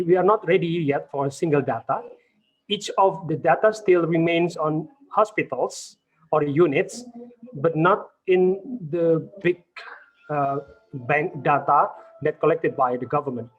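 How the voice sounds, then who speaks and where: Man, Indonesian accent, slightly high voice, polite, hesitant, tinny phone-like call audio. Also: a little slow. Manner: polite, hesitant, many fillers. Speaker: audience member asking a question. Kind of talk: polite question on an online panel.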